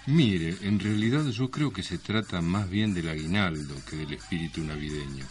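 A man's voice: low-pitched, normal speed, soft background music. A man with an Argentine accent co-hosting a radio show, bantering in a tongue-in-cheek, mock-formal way. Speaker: radio co-host. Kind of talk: bantering comic radio talk with mock-solemn lines.

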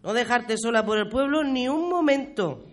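A woman's voice: fairly high pitch, lively intonation, moderate pace, natural voice. An older woman with an accent from Spain playing a weary, protective village grandmother in an amateur radio play. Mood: firm. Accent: Spanish, from Spain.

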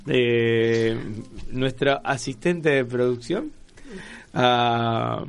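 A man's voice: medium pitch, drawn-out words. A man with an Argentine Rioplatense accent hosting an informal live radio magazine, teasing his colleagues and often repeating his phrases. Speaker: radio show host. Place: radio studio.

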